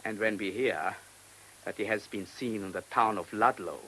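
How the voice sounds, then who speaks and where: Suave, mocking German avenger, bright voice. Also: male voice, on the low side, moderate pace, poor audio. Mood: grave, measured. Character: cunning German-speaking man, a mocking, self-assured confessed avenger. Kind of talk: radio drama acting. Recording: hissy old radio play recording.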